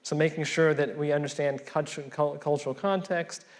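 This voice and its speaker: A man's voice: on the high side, fairly even intonation, moderate pace. A man from Pakistan whose first language is Urdu, speaking on a conference panel with many fillers and restarts.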